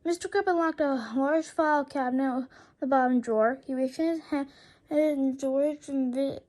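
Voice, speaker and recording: A woman reading a children's story aloud in an expressive way, now and then stumbling over words. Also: high-pitched, normal speed. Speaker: read-aloud narrator.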